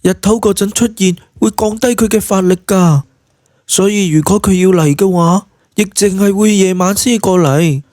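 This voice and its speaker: A man voicing an earnest, curious young woman in Cantonese, speaking in a soft, hesitant, wondering way for an audio drama. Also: sits high, moderate pace, no echo, studio quality, voice pushed up, lightly acted. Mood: reassuring.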